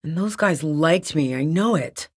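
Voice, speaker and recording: A woman's voice: deep voice, lively intonation, unhurried, husky, heavily acted. An actress playing a tough, wary, sardonic woman on the run in a video game, speaking bluntly. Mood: somber, regretful. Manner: blunt, sardonic, foul-mouthed. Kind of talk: video game character dialogue.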